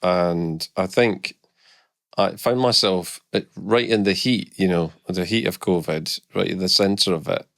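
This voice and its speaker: Brief: middle-aged Scottish guest, very low gravelly voice, dry close mic, candid and reflective. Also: male voice, a little slow, a bit bright, no echo. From Scotland. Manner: reflective, candid, many hesitant restarts. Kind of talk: casual podcast interview.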